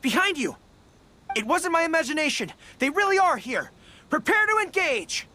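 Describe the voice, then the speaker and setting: A woman voicing a youthful, earnest and modest dog-eared general in a video game, expressive and a little hesitant, with music behind. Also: high voice, normal speed, slight background noise, heavily acted. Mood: alarmed. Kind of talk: scripted game dialogue. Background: background music.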